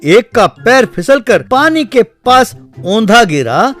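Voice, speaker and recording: High-pitched, animated male storyteller. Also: slow pace, faint music behind. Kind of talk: scripted cartoon story narration.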